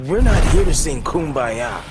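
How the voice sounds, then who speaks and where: Cocky young game superhero, sarcastic, lively highish pitch, loud music. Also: male voice, measured pace. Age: young adult. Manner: sarcastic, quippy. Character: cocky young fiery superhero, wisecracking and brash.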